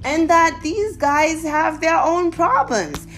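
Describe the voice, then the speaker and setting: A young woman from Zimbabwe with a Zimbabwean accent, telling comic stories to her viewers in a casual, animated way with exclamations. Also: very high-pitched, slow pace, faint music behind. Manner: animated, exclaiming, laughing often.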